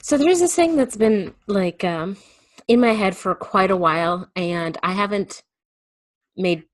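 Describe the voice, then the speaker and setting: A woman's voice: medium pitch, measured pace, no echo. A woman guest in a casual personal chat, speaking candidly and informally with emphatic turns of phrase.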